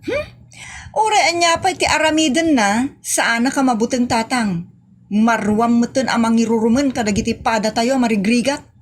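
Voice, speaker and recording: Woman acting a high-voiced, brash young waitress. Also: moderate pace, lightly acted. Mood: defiant. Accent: Ilocano. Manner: brash, mocking, talks back. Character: sassy, scheming, rude young daughter working as eatery waitress. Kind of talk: Ilocano comedy audio drama acting.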